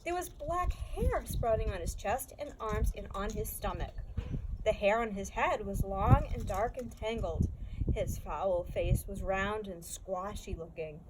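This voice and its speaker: A woman reading a children's story aloud in an expressive storybook style. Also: medium pitch, unhurried. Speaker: story reader. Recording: clearly noisy background.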